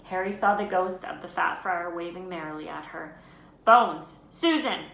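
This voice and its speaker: A woman teacher reading a children's novel aloud, expressive and voicing the characters. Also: fairly low pitch, brisk, vocal fry. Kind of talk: storybook read-aloud. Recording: roomy with faint noise.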